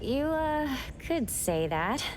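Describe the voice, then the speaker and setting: A woman playing a calm, analytical young investigator in an anime game, measured and matter-of-fact as she reasons aloud. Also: sits high, background noise, full character voice. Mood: thoughtful.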